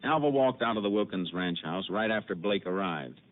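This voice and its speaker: An actor playing a steady Texas Ranger investigator, calm, clipped and matter-of-fact, in a 1950s radio drama. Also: male voice, lowish voice, moderate pace, lightly acted. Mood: firm. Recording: tinny old radio broadcast.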